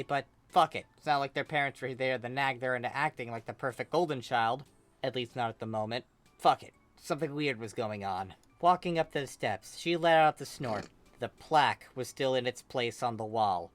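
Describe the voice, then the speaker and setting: A reader narrating a comic fan fiction story in an expressive, emphatic way. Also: mid-range voice.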